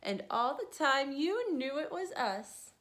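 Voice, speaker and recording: A woman's voice: high-pitched, big pitch swings, takes it slowly, fairly bright tone, pitched above the performer's own voice, exaggerated voice. A woman voicing a cheerful older grandmother who exclaims with animation. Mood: delighted.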